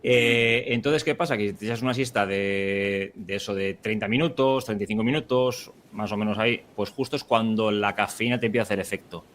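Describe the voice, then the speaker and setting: A man's voice: on the low side, a little fast, hardly any pauses, fairly bright tone, a little hiss or hum. A man hosting a podcast interview, talking casually with lots of restarts and fillers. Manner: rambling, many restarts and fillers, laughs often. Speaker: podcast host.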